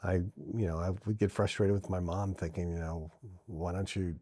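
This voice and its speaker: A man's voice: deep voice, fairly even intonation, moderate pace, mellow, breathy edge, no room sound. A middle-aged man from New Orleans, a podcast guest, talking earnestly and reflectively in a casual interview, often restarting his sentences.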